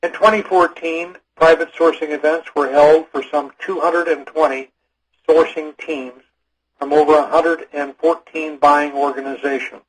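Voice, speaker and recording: A man with a rough, husky voice pitched a little high reads a prepared business report. His delivery is formal and measured, that of an executive addressing a conference call. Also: fairly even intonation, poor audio. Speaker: company executive. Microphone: phone or conference call line.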